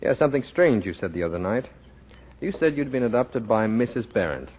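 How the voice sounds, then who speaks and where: Plain-spoken bachelor writer, American accent, lively intonation, affable and probing, 1940s radio play, muffled hissy recording. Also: male voice, medium pitch, fairly quick, husky. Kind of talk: 1940s radio drama acting.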